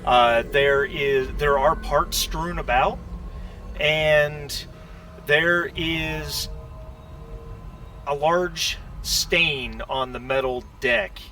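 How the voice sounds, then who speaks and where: A man narrating a tabletop role-playing game as game master, describing scenes in a halting, improvised way. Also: a little high, bright, forceful tone, faint music behind. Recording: distant mic with clear background noise.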